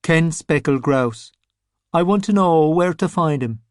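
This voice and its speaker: A man's voice: a little high, expressive, measured pace, no echo. A smug, scheming zombie with a reedy, raised voice, vain and a little peevish, bargaining from a dry, close recording, as a male narrator puts him on. He preens, wheedles and snaps.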